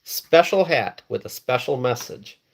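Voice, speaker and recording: A man's voice: mid-range voice, expressive, a little slow, vocal fry. A man from Bangladesh hosting a casual live stream, reading viewers' comments aloud and repeating phrases for emphasis.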